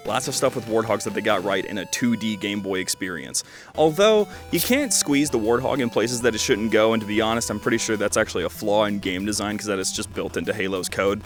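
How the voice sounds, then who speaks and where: A man's voice: lowish voice, fairly bright tone. A man giving casual, rambling video commentary as he reviews games, with dry joking asides and frequent self-interruptions. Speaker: video creator reviewing fan-made games.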